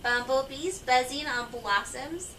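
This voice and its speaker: A woman's voice: high voice, slow, sharp tone. A woman reading a picture book aloud to children and prompting her listeners with questions. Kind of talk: read-aloud storytelling to children. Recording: echoey, noisy room, far from mic.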